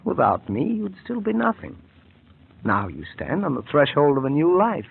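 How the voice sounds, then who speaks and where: An actor playing a scheming, murderous male doctor in an old radio drama, with a smooth, condescending manner. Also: fairly high pitch, very animated, normal speed, exaggerated voice. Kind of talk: 1940s radio acting. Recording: tinny old radio broadcast with faint hiss.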